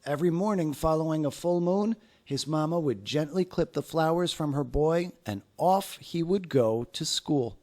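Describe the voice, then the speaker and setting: A man reading a children's picture book aloud in an expressive, deliberate storytelling manner. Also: fairly high pitch.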